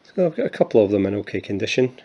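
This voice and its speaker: Scottish guy in his 50s, lowish voice, rambling and joking about himself, muffled lo-fi sound. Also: sing-song, very fast, warm tone, slightly rough. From Scotland. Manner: chatty, self-mocking, many fillers and restarts. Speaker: coin collector. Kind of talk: casual show-and-tell vlog.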